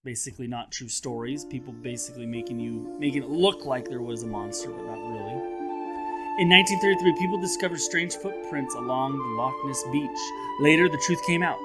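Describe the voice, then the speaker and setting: Expressive man reading aloud to children. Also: mid-range voice, loud music behind the voice. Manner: expressive, teacherly, pauses to address listeners with questions. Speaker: third grade teacher reading aloud for children. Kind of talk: read-aloud of a children's nonfiction book.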